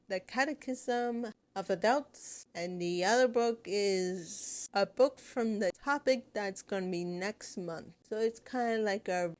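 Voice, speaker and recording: A young woman whose first language is Spanish, hosting a podcast and telling her own story in a casual, rambling way, often restarting her sentences. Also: medium pitch. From United States. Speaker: podcast host.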